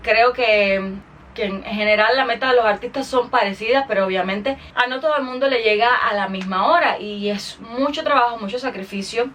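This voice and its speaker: A woman's voice: on the high side, moderate pace, fairly bright tone, distant mic, a little hiss or hum. A woman with a Cuban accent hosting her podcast in a chatty, informal monologue to her followers. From Cuba. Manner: chatty, informal, with English interjections. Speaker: podcast host and singer.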